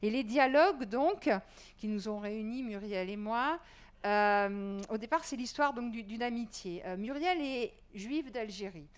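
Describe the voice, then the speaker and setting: A woman's voice: fairly high pitch, measured pace, clear, resonant tone. A woman who writes and teaches, answering earnestly at a panel discussion, fluent but with frequent fillers. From Iran.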